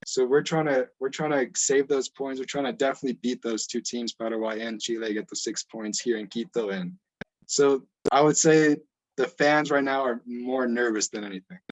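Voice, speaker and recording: A man's voice: mid-range voice, moderate pace, low-quality recording. A man from Ecuador who grew up in the United States, giving casual answers as a guest on a football podcast. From born in Ecuador, grew up in the United States. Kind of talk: casual interview talk.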